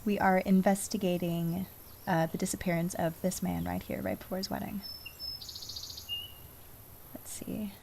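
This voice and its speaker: Creaky-voiced woman streaming a game. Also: on the low side, flat delivery, moderate pace, long pauses, warm tone, slight background noise. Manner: informal, distracted, thinking aloud. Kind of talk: casual chat while playing a game. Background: game music.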